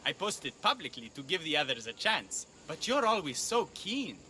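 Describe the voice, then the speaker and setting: A man's voice: fairly high pitch, big pitch swings, moderate pace, sharp tone, slight background noise, full character voice, low-quality recording. A man playing a jovial, shrewd pelt trader in a video game, bantering in a familiar way. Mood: friendly, bantering. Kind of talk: video game cutscene dialogue.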